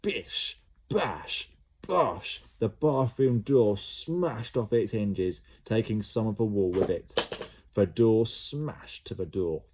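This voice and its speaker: Animated low-voiced male storyteller. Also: slow, a little hiss or hum. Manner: animated, with sound effects. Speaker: primary school teacher. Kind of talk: storybook read-aloud for children.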